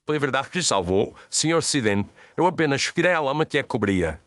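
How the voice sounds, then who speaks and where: Composed analytical detective, acted by a man, quick speech, mid pitch, formal, didactic, dry studio-like sound. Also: sing-song, a bit bright, no echo. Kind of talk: dramatised audio mystery story.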